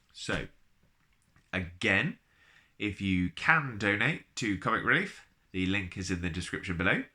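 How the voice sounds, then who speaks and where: A man reading a children's storybook aloud in an expressive, playful way, with chatty asides to the listener. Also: deep voice. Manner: playful, addresses listeners directly.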